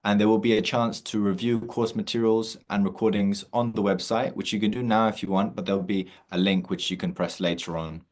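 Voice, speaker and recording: A man hosting an online meditation session over a video call, talking in a relaxed way with many fillers and restarts. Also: fairly low pitch, fairly quick, few pauses. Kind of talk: casual online session introduction.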